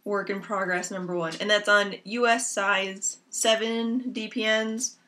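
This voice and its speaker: A young woman rambles through her knitting projects at an unhurried pace, filling gaps with ums. Her tone is bright and clear, though a lingering cough leaves it slightly raspy. She sits at home, speaking into a laptop mic. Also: mid-range voice, fairly even intonation. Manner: chatty and rambling, with many ums. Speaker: knitting podcast host. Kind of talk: casual chat to camera. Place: small room at home, indoors. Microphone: laptop camera mic. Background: rain outside.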